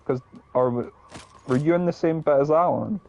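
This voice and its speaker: Creaky-voiced young Scottish man gaming, noisy audio. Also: fairly high pitch, moderate pace, darkish tone, muffled recording. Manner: sweary, rambling anecdotes. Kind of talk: casual chat and storytelling while gaming.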